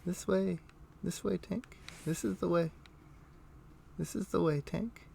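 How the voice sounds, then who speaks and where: A man livestreaming a game, chatting casually and thinking aloud in a rambling, halting way. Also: high-pitched, fairly even intonation, gravelly, mellow, a little hiss or hum. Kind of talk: casual chat while playing an online game. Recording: music playing behind. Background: music.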